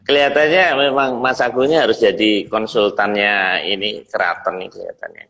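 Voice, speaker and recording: A man from Indonesia with a fairly low voice hosts a casual chat. He talks informally, often repeating short phrases. The recording sounds dull and lo-fi. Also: sing-song, a little fast, vocal fry, fairly bright tone, slight background noise. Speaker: host. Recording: dull lo-fi audio.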